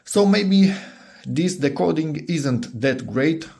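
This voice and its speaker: A man's voice: on the high side, lively intonation, slow, gravelly, darkish tone. A man presenting a DIY electronics test, informally thinking aloud as he goes. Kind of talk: casual hands-on product test commentary.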